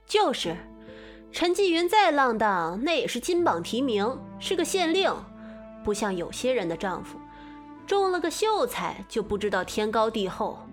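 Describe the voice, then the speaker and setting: A woman's voice: fairly high pitch, sing-song, normal speed, soft background music. A woman playing a chatty, loyal married lady friend in a Chinese period audio drama. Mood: indignant.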